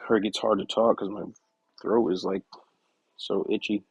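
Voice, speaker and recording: A young man chatting casually on a work-shop live stream, rambling and correcting himself, his voice hoarse and scratchy from a cough. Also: lowish voice, flat delivery, normal speed, no echo. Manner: rambling, self-correcting, soft-spoken asides. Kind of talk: casual live-stream chat while working.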